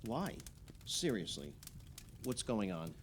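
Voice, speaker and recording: An actor playing an earnest, outspoken and supportive friend in an audio play, talking in a blunt, chummy, naturalistic way. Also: male voice, on the low side, very animated, slow pace, slight background noise. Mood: curious, chummy.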